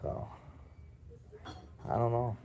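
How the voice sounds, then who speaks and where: Man, deep voice, defiant livestream rambling, mellow dark tone, faint background hum. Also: takes it slowly. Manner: rambling, defiant, addresses viewers directly. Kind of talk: casual live commentary. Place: public building lobby.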